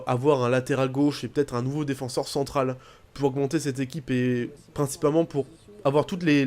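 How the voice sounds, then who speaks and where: Chatty French-speaking man commentating a game. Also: a little high. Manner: chatty, rambling, bursts into excited shouting at goals. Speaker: gaming YouTuber. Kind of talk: casual gameplay commentary.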